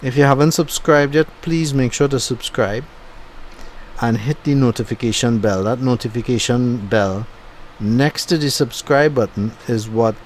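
A man's voice: mid-range voice, moderate pace, a little hiss or hum. A middle-aged man giving a casual, rambling voice-over commentary on his own driving video, thinking aloud with fillers. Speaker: YouTube channel host. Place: home, recorded after the drive.

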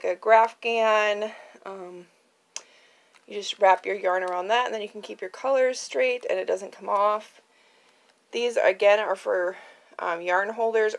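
A woman hosting a casual, chatty show-and-tell in an informal, tentative way, thinking aloud as she goes. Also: mid-range voice. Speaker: craft channel host previewing auction items. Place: indoors at home, at a card table. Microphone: handheld phone.

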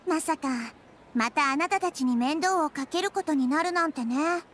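A woman voicing a gentle young girl goddess who speaks softly and politely, calm and wise, in a video game. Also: sits high, moderate pace, a little hiss or hum. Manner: soft, composed, polite. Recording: game audio with music.

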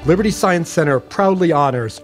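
A man's voice: fairly high pitch, lively intonation, a little fast. A man reading the scripted, formal narration of a tribute video. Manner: formal and celebratory. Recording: voice-over with music behind.

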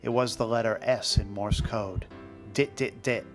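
A man's voice: fairly low pitch, steady tone, measured pace, soft background music. A man reading scripted documentary narration in a conversational storytelling manner with wry asides, as the host.

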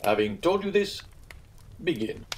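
A man voicing a flippant, sceptical young gentleman in a storybook, with a dry, teasing manner. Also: a little high, big pitch swings, a little slow, slight background noise, pitched above the performer's own voice. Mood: mocking, amused.

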